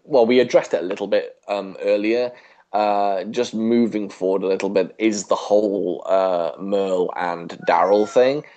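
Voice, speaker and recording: A man's voice: lowish voice, fairly even intonation, moderate pace, darkish tone, lo-fi sound. A man co-hosting a casual podcast, speaking hesitantly and often repeating words as he thinks. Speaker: podcast co-host. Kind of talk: casual podcast chat about a TV show.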